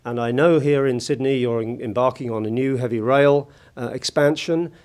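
A British man with a husky voice giving a formal conference talk from a lectern, measured and full of hesitant fillers. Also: medium pitch, fairly even intonation, darkish tone. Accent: British English. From United Kingdom. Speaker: conference keynote speaker. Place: large conference hall at a lectern. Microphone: lectern microphone.

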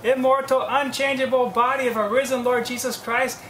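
Bright, animated priest preaching outdoors. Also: male voice, very high voice, normal speed. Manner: animated, gesturing, acts out quoted voices. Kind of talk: homily. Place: outdoors under a canopy tent. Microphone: lapel mic. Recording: echoey with faint ambient noise.

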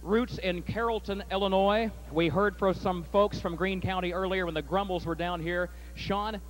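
A man announcing and calling a harness race at a state fair, his voice climbing and getting loud down the stretch, then interviewing a trainer. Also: sits high, steady tone, a little hiss or hum, poor audio. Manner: rapid, rising to shouts at the finish. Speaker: track announcer. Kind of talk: harness race call and winner's circle interview.

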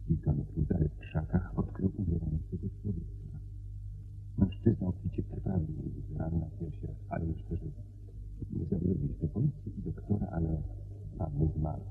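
Low, creaky, formal gentleman. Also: sing-song, exaggerated voice. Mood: grave. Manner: formal, polite, deliberate storytelling. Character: well-bred gentleman client soberly recounting a grim mystery. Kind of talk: radio play acting. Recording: muffled radio recording with faint noise.

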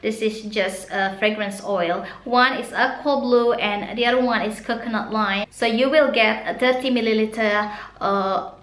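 A woman's voice: fairly high pitch, fairly even intonation, normal speed, a bit bright. Indonesian accent woman doing a home haul video, gushy and giggly, roomy echo. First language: Sundanese. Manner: chatty, laughs often, gushes over products. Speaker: vlogger. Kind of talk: casual product haul. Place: small room indoors.

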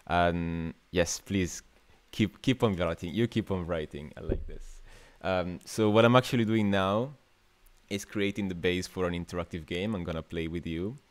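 A man's voice: low-pitched, normal speed. A man in his twenties with an Italian accent, hosting a casual livestream workshop in an informal, hesitant way, often thinking aloud. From Italy. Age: 20s. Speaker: workshop host, new media artist. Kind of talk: casual livestream masterclass, chatting with viewers. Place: small indoor room.

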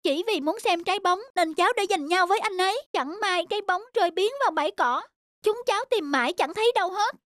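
A woman's voice: very high voice, expressive, fast talker, no room sound. A woman voicing a small, eager, easily flustered rabbit child in a cartoon dub, with a whiny childlike manner. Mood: explaining. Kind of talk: cartoon dubbing. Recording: loud music under the voice.

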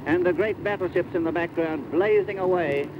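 A dull, noisy archive recording carries a middle-aged male war correspondent. He gives 1940s radio eyewitness commentary from a craft at sea in a fairly high voice. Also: moderate pace. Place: aboard a landing craft at sea.

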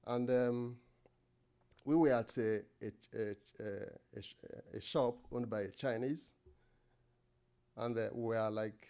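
A middle-aged Nigerian man giving a lecture at a podium microphone in an indoor lecture room, pausing often with fillers and restarts. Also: medium pitch, fairly even intonation, dark tone, smooth. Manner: hesitant, many fillers and restarts. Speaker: guest lecturer.

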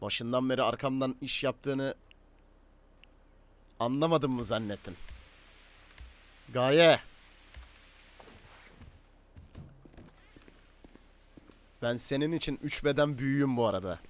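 A man playing a commanding, stern gang boss in improvised game roleplay, domineering and quick to order and threaten. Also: mid-range voice, natural voice. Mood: menacing. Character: commanding male crime boss, stern and menacing.

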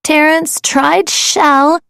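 A woman narrating a children's storybook in a dramatic, playful and deliberate way. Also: high voice, steady tone, vocal fry, fairly bright tone, no echo, clean studio sound. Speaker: audiobook narrator.

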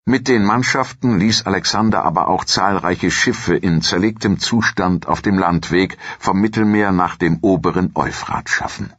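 A man narrating a historical audio documentary from a script in a measured, formal storytelling manner. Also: sits low, a bit bright, slightly rough, no room sound, muffled recording.

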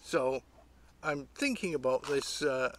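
A man, talking casually and haltingly to camera outdoors, rambling as he thinks aloud. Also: medium pitch, animated, a bit bright, a little hiss or hum. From Great Britain. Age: 50s. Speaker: hobbyist vlogger. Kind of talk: casual unscripted talk to camera. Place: outdoors among trees.

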